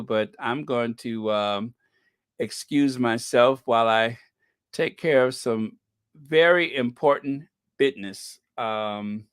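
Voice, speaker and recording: Slow, meandering male livestream host. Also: mid-range voice, fairly bright tone, smooth, no echo. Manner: playful, joking, mock-indignant theatrical delivery. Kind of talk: casual livestream chat.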